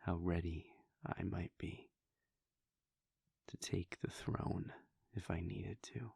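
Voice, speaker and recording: A man voicing a weary, brooding male vampire prince in an intimate audio roleplay, speaking in a low husky whisper as a soft confiding monologue. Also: steady tone, slow, dry recording, studio quality, full character voice. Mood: reflective.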